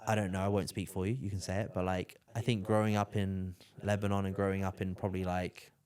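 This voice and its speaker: A man's voice: low voice, flat delivery, quick pace, darkish tone. A young man from the south of England, chatting on a podcast and trailing off with fillers and tag questions. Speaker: podcast host. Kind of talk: casual podcast chat.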